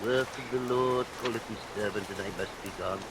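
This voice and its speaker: A man's voice: on the low side, a little fast, natural voice. A man playing an unctuous, amorous parson in a vintage radio play, with pompous, archaic preacherly phrasing. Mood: sanctimonious. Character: sanctimonious amorous male parson, unctuous and pious. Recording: vintage radio recording under steady rain noise.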